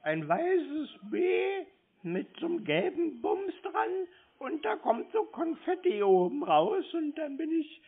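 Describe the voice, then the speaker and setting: A man playing a dim, stubborn young man who stalls a caller in a slow-witted, literal way on a prank phone call. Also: sing-song, full character voice. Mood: insistent. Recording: muffled low-bandwidth phone call.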